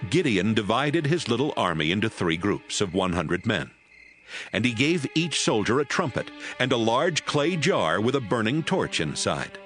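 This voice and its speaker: A male narrator with a fairly low voice reads a scripted, dramatized scripture story. Music plays clearly behind him throughout. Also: sing-song, moderate pace, fairly bright tone.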